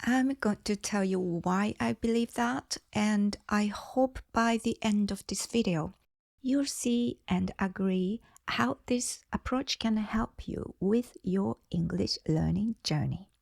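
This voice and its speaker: Japanese-accented woman, middle-aged, slow careful teacher talk, gravelly edge, dry close mic. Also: mid-range voice, darkish tone, no echo. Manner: slow, deliberate, carefully clear delivery. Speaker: English teacher and channel host. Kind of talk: slow scripted English lesson talk.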